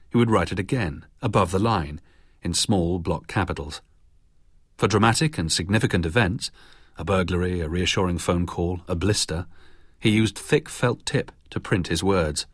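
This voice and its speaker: A man narrating a biographical documentary in a measured, even reading. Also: low voice, slightly rough, dry recording, clean studio sound.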